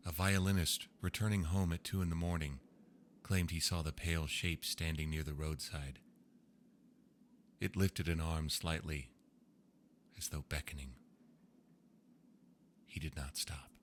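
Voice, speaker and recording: A man reading old ghost stories aloud in a slow, hushed storytelling manner. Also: extremely low pitch, steady tone, slight background noise. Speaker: narrator. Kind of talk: scripted ghost story narration.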